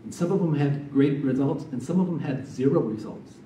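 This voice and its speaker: A middle-aged man, a missionary, giving a sermon and prayer in a church, soft-spoken and halting with many fillers. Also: mid-range voice, slight background noise. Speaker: missionary preacher. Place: church sanctuary, medium-sized. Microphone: stand mic from a distance. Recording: roomy church sound, mic far off.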